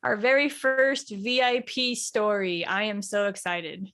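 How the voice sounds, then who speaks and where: A woman's voice: medium pitch, fairly even intonation, a little slow, fairly bright tone. Friendly young woman podcast co-host. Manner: friendly, laughs easily. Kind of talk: casual fan podcast chat.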